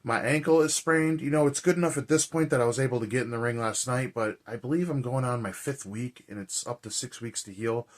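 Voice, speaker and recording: A man with a hoarse, raspy voice, chatting casually and rambling with lots of fillers as a movie vlogger. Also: medium pitch, brisk, hardly any pauses. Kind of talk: casual chat.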